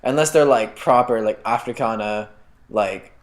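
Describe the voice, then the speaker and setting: A man's voice: mid-range voice, moderate pace. A young man from South Africa co-hosting a podcast, rambling and restarting his sentences, with casual swearing. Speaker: podcast co-host. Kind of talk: casual chat.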